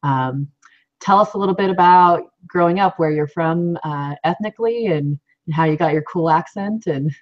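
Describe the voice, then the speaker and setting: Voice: medium pitch, moderate pace, muffled recording. A moderator on a live Q&A, upbeat and joking, asking questions with many fillers. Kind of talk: casual live Q&A.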